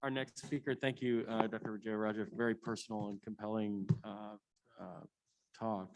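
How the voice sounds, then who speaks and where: A man's voice: lowish voice, flat delivery, moderate pace, slight background noise, rough recording. A man moderating a conference session, introducing speakers.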